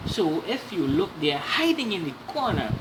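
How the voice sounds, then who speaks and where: A woman reading a children's story aloud in an animated, dramatic way, with explanatory asides to young listeners. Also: on the low side, moderate pace, background noise.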